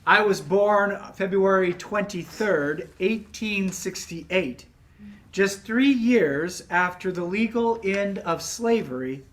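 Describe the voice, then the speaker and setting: A man playing a dignified elderly male scholar in a dramatic reading, with formal, deliberate delivery. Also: sits high, animated, a bit bright, heavily acted. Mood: warm, recollecting. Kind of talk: dramatic reading of historical monologue.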